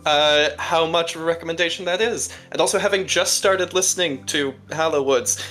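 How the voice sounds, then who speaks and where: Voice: mid-range voice, fairly quick, a bit bright. An emphatic, wry podcast panelist in a casual group chat, swearing freely. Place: remote group call.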